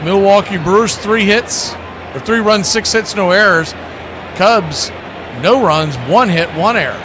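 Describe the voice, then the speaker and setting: A man's voice: high-pitched, unhurried, background noise. A man doing live baseball play-by-play, mixing clipped calls with conversational analysis. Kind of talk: live baseball radio commentary.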